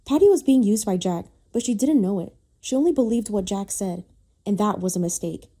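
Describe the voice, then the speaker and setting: A woman's voice: medium pitch, expressive, brisk, dark tone, breathy edge. A woman reading a scripted, dramatic story as its narrator.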